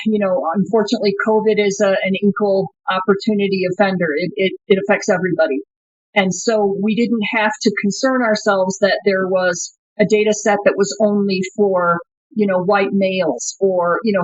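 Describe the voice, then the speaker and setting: A woman's voice: mid-range voice, fairly even intonation, normal speed, dry recording, highs cut off. A woman company co-founder answering interview questions thoughtfully, often restarting her sentences. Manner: thoughtful, frequent restarts and fillers.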